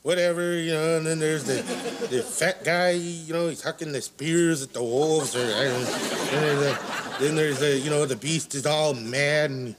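A man's voice: on the high side, normal speed, a crowd in the background, natural voice. A man playing a bumbling, tipsy elderly children's storytime host in a comedy sketch, stammering and losing his thread. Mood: rambling, muddled. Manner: rambling, stammering, loses his thread, trails off. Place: living-room studio set with audience. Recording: noisy TV studio, audience behind.

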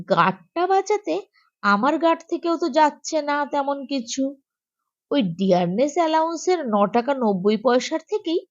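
Lively, high-voiced woman storyteller, slightly dull recording. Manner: animated, acts out dialogue. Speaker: audiobook story narrator. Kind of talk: expressive reading of a humorous short story.

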